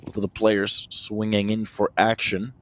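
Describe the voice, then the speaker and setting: A man's voice: on the low side, unhurried. Chatty thirty-something male esports caster. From Finland. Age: 30s. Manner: energetic, chatty, answers viewer questions between plays. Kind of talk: solo play-by-play commentary with chat replies.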